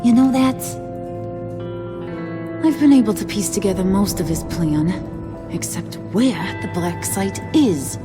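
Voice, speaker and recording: A woman's voice: medium pitch, lively intonation, measured pace, long pauses, natural voice. A woman playing a playful but menacing female gang boss in a video game, slangy, bossy and teasing. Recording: music behind the voice.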